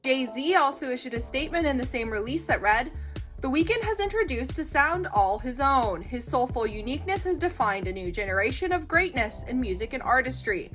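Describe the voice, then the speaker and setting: Casting: female entertainment news narrator, high-pitched voice, scripted read over faint music. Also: expressive, fairly quick, fairly bright tone.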